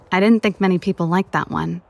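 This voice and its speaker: A woman voicing a playful, teasing young big-sister inventor and musician in a video game, casual and expressive. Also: on the low side, vocal fry. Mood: casual, reflective.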